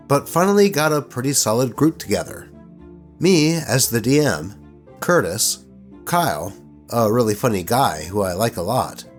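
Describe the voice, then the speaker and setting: A man narrating stories in an expressive, colloquial way, with casual commentary mixed in. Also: mid-range voice. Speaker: host reading online tabletop horror stories.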